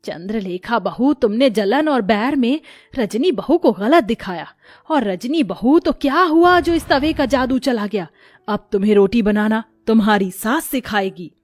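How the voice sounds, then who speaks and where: A woman's voice: on the high side, expressive, measured pace, no echo. A woman voicing an older village mother-in-law in a cartoon, firm and matronly. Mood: stern.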